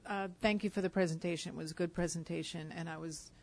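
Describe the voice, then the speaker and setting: A woman's voice: fairly low pitch, steady tone, fast talker. A woman on the board asking a question at a public meeting, polite and hesitant with fillers.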